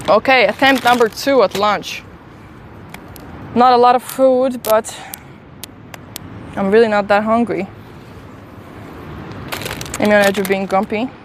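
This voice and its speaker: A woman with a faint Swedish accent chats to her viewers while out on a city street. She is casual and self-deprecating, speaking at a middle pitch into a phone held at arm's length, with clear street and traffic noise underneath. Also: fairly even intonation, unhurried, long pauses. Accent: slight Swedish accent. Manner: chatty, self-deprecating, talks to chat while eating. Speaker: travel streamer. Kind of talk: casual live-stream chat.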